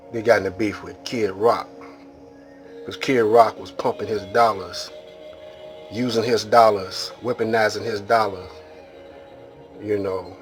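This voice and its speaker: Slow, low-voiced American man over music. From Detroit, Michigan, United States. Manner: halting, rambling street-talk delivery. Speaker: video host. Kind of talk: casual monologue to viewers.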